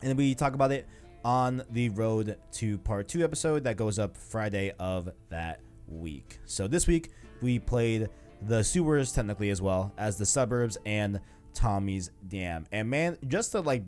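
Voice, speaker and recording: Man, lowish pitch, game show host, casual chat, rambling delivery, faint music behind. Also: lively intonation, normal speed, darkish tone. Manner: rambling, peppered with "like" and "you know".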